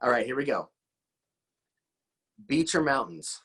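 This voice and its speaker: A man's voice: medium pitch, expressive, a little slow, fairly bright tone, husky. A man hosting a casual interview in an encouraging, enthusiastic, rambling conversational way, often repeating his words. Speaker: interview host.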